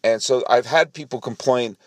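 A man's voice: mid-range voice, moderate pace, no echo, studio quality. A man in his forties hosting a solo podcast, chatty and rambling with lots of self-deprecating asides. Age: 40s. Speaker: podcast host. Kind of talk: casual solo podcast monologue. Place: home studio.

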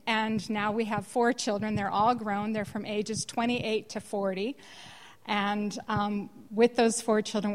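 An older woman with a Californian accent tells her life story to a church congregation. She pauses often to clear her throat. Her voice carries a long reverb from a large church hall. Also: medium pitch. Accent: Californian American. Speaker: guest speaker sharing testimony. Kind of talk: personal testimony to a church congregation.

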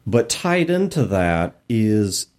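A man's voice: on the low side, very animated, slow, a little mellow. A man co-hosting a podcast, chatting casually and informally about tabletop games. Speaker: podcast co-host.

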